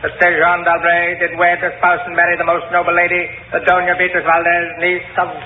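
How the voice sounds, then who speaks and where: Actor as droning, high-pitched court clerk. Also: male voice, phone-quality sound, natural voice. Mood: formal, impersonal. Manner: rapid monotone reading of formal charges. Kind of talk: 1940s radio drama acting. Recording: thin, echoey 1940s radio recording, faint noise.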